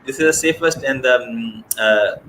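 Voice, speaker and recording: A man speaks slowly, stammering through many hesitations and fillers as he hosts an interview. His voice sits at an ordinary pitch. Clear background noise hisses behind him on the video call. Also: a bit bright, low-quality recording. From Pakistan. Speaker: podcast host. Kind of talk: casual interview chat.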